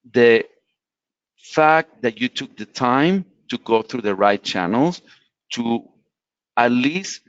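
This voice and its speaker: A man's voice: medium pitch, no echo. Man from latin america, english speaker, earnest advice, dry muffled webinar sound. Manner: earnest, explanatory, frequent restarts. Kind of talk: career advice talk.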